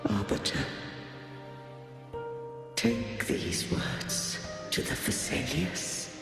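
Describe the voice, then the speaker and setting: A man voicing an ancient, godlike being in a fantasy game, solemn and weary, with archaic, stately and measured speech. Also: fairly low pitch, sing-song, gravelly, heavily acted. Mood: solemn farewell. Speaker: game character voice. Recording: cavernous echoing reverb with music behind.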